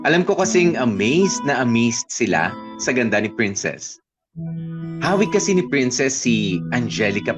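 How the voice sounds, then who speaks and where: A sheepish young Filipino boyfriend narrating a radio drama. His voice is lively and confiding, slightly dull in sound, over clear guitar music. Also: medium pitch.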